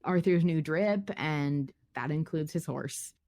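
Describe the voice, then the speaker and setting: Lowish-voiced woman hosting, dry close sound. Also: takes it slowly, darkish tone, no echo. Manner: playful, wry, conversational. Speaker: podcast host. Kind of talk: casual podcast banter and discussion.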